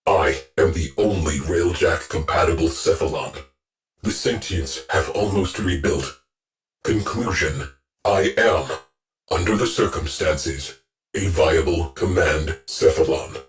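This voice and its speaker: An actor playing a grave male ship AI who gives clipped, measured military reports in a video game. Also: low voice. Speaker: ship's command AI.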